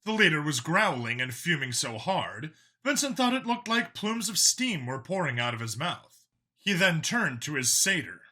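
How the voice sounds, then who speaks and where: A male audiobook narrator tells a dramatic science fiction story in an expressive, theatrical way. His voice is slightly high for a man and very bright, with lively swings in pitch. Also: normal speed.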